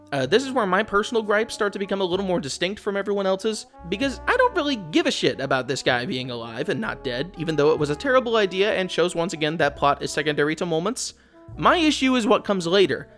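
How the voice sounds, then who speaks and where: A man's voice: high-pitched, sing-song, fairly quick, a bit bright, soft background music. A man giving blunt, sardonic, casually profane video commentary in an opinionated, conversational style. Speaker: video essayist, host. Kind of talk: opinionated game commentary, casual script with asides.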